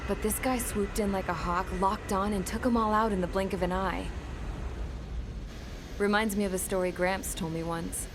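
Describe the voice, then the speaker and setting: A woman's voice: mid-range voice, steady tone, normal speed, lightly acted. An actress playing a plain-spoken young woman who retells war stories colloquially in a video game cutscene. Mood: reflective. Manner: colloquial storytelling. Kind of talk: scripted game cutscene narration. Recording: clear background vehicle noise.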